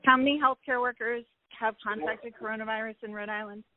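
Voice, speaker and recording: A woman's voice: on the high side, fairly even intonation, fairly quick, no echo. A woman working as a reporter, asking a direct question on a press conference call. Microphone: phone line. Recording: tinny phone conference line.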